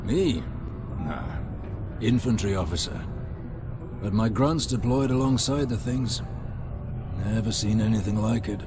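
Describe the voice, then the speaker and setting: A low-voiced, wary military veteran. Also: male voice, expressive. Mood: wistful, proud. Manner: probing, deliberate, clipped sentences. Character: wary, shrewd veteran infantry officer of a disbanded marine unit. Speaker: video game voice actor. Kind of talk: dramatic game dialogue. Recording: music behind the voice.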